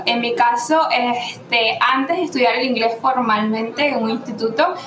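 A woman telling a lively story in a panel discussion, laughing as she talks. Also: high-pitched, roomy sound, slight background noise, low-quality recording.

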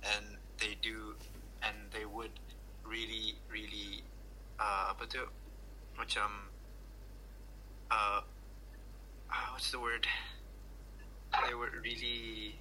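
Bright-voiced man, hesitant and searching for words. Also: fairly low pitch, flat delivery, slow pace, long pauses, poor audio. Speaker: podcast guest. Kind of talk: casual chat. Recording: faint background noise.

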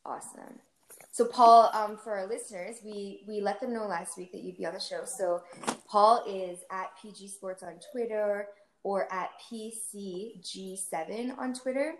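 A woman's voice: mid-range voice, steady tone, unhurried. A woman from Ontario co-hosting a podcast, chatting bluntly and casually. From Ontario, Canada. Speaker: podcast co-host. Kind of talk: casual chat. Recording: echoey room with faint noise.

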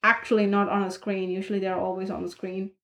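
A young woman co-hosting a casual podcast in Ireland, playful and teasing, with a loud way of talking. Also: mid-range voice, level tone, fast talker. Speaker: podcast co-host. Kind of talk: casual chat. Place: room in Ireland.

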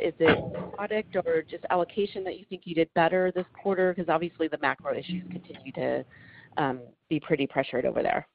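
A man asking an executive a follow-up question on an earnings call, quick and polite. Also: a little high. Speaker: analyst. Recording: tinny phone line with noise.

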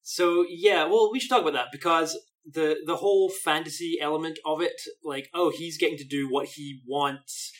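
A man talks on a podcast with a voice set a little higher than most men's. He gives his opinions bluntly, stopping and restarting mid-thought. The tone stays casual and conversational. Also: fairly even intonation. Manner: frank, halting, with many restarts. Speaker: podcast co-host. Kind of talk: casual critical chat.

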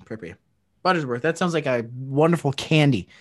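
A man's voice: fairly high pitch, normal speed. A man co-hosting a comedy podcast, teasing the guests and playfully mangling their names. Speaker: co-host.

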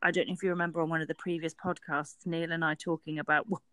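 A woman's voice: low-pitched, flat delivery, fast talker, sharp tone, no room sound, studio quality. A woman co-hosting a workplace podcast, talking earnestly and often restarting her sentences. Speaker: podcast co-host. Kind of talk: casual workplace podcast chat.

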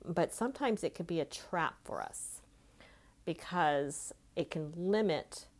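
A woman's voice: fairly low pitch, expressive, slow pace. A woman in her late fifties speaking as a life coach, giving an informal, reflective motivational podcast monologue in a conversational way. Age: late 50s.

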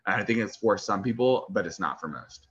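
A man's voice: lowish voice, fairly quick, lo-fi sound, slight background noise. A young man with a lisp co-hosting a podcast, chatting casually, swearing and laughing a lot. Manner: profane, laughing, animated. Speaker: podcast co-host. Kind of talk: casual chat.

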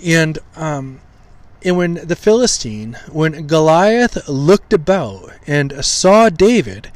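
A man hosting a podcast, teaching scripture in an informal way with a slightly hoarse, weak voice, full of pauses, ums and restarts while he tries to enunciate carefully. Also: a little high, expressive, dry recording. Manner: halting, many ums and restarts, deliberate enunciation.

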